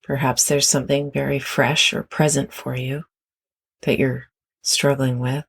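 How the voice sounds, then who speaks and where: A meditation guide speaks very slowly and gently, leaving long pauses between phrases. The voice is husky and breathy, with a noticeable creak. The delivery is that of a guided meditation. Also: medium pitch, level tone.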